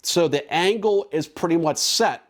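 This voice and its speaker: A man's voice: fairly high pitch, normal speed. A man presenting drone equipment in a casual, conversational, explanatory product demo.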